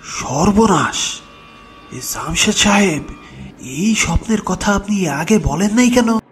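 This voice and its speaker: A village cleric, played by a man, gives ominous warnings in a horror audio drama. His rural Bangladeshi Bengali speech is urgent and very animated, with faint music behind it. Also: high voice, slow. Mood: alarmed. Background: background music.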